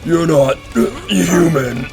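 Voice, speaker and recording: An actor playing a furious, wounded man in a deadly showdown, speaking forcefully and emotionally in an audio drama. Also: a little high, extremely slow, creaky, heavily acted. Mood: desperate rage. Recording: music behind the voice. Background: dramatic music.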